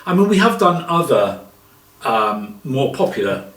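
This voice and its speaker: A man of medium pitch speaks in reflective conversation. His delivery is measured and hesitant, picked up from a little distance in an echoing room. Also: big pitch swings, fairly bright tone. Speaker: theatre member. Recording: echoey room, distant microphone.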